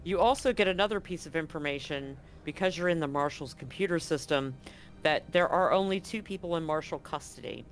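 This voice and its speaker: Guy running a tabletop rpg, slightly high voice, stops and starts, soft music behind him. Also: brisk. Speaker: game master. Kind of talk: improvised tabletop game narration.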